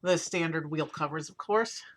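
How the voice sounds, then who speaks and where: A hoarse man with a raspy voice casually narrating a toy car build on a stream, rambling with many fillers. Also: fairly high pitch, normal speed, fairly bright tone. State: hoarse from overuse. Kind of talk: casual live-stream commentary.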